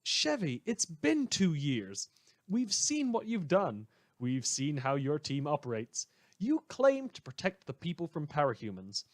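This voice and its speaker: A man's voice: a little high, wildly swooping pitch, a little slow, no echo. A man playing a sardonic radio host in a superhero world, delivering scripted satirical news with dramatic, sarcastic emphasis. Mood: indignant.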